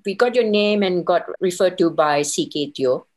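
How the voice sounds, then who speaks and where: A woman's voice: fairly low pitch, moderate pace, a little mellow. A middle-aged woman being interviewed, telling her career story conversationally and voicing her own thoughts as little quoted asides. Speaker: interview guest, consultant and business owner.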